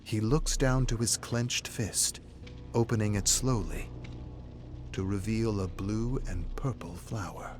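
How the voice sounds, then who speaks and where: A man narrating a dramatic scripted story in a measured, suspenseful way. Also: lowish voice. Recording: music behind the voice. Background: background music.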